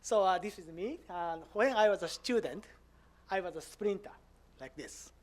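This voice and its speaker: A man's voice: sits high, expressive, normal speed. Japanese-accented man giving a hesitant lecture. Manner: hesitant, frequent "uh" fillers, simple non-native grammar. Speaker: visiting professor giving a lecture. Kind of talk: academic conference lecture.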